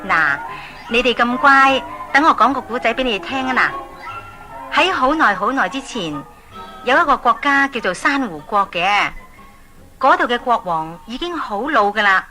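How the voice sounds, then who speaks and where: A woman's voice: a little high, lively intonation, moderate pace, music in the background, poor audio. A woman with a Hong Kong accent narrating a scripted story for children, addressing them directly. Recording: 1980s story cassette.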